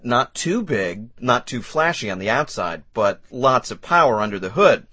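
A man's voice: medium pitch, very animated, normal speed, bright, forceful tone, no room sound. A man playing a polite, enthusiastic young job applicant in a scripted learner dialogue. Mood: eager. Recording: dry studio sound.

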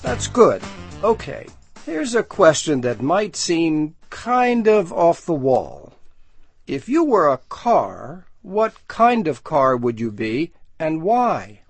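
A man's voice: on the high side, takes it slowly, slightly rough, dry recording, faint music behind, exaggerated voice. A man playing a friendly magazine manager who interviews a job candidate in a scripted learner dialogue. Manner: relaxed and friendly, asks questions. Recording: dry studio sound.

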